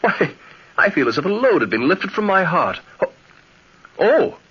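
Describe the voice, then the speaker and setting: An actor playing a bewildered, boyish, lovestruck young lieutenant, exclaiming in 1940s radio drama style. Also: male voice, high-pitched, sing-song, moderate pace, bright, forceful tone, husky, full character voice. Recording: dull old radio recording.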